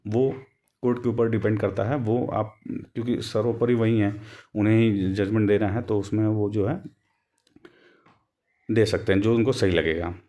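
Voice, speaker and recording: A man with a fairly low, very mellow and relaxed voice. He explains like an online host walking through a document, informal and halting, often circling back to rephrase his points. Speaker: YouTube host explaining a court case update.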